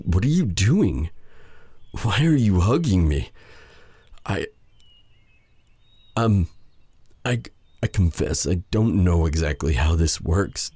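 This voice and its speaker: Man acting a deep, husky dark sorcerer. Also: no room sound, exaggerated voice. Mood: flustered, tender. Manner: halting, hesitant, sighing delivery. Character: brooding dark sorcerer, bitter exiled mage with a tender side. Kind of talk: intimate ASMR roleplay drama.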